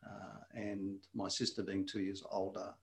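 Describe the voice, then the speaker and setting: Gravelly older man, unhurried and thoughtful. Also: deep voice, monotone. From Wales. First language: Welsh. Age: 60s. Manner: unhurried, thoughtful, with frequent ums. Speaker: guest. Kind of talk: casual interview chat. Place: shared room with the host.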